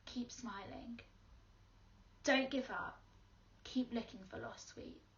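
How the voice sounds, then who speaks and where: An actress playing a lonely schoolgirl caught in the rain, speaking quietly and reflectively in a dramatic monologue. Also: fairly high pitch, takes it slowly, dull audio, a little hiss or hum. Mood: wistful. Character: soaked lonely schoolgirl in purple coat.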